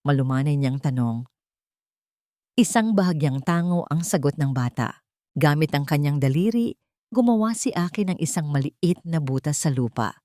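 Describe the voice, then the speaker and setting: Female Filipino narrator for sentimental drama stories, slightly deep register, highly expressive melody, measured delivery. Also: dry recording, clean studio sound. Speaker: storyteller, narrator. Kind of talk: scripted dramatic story narration.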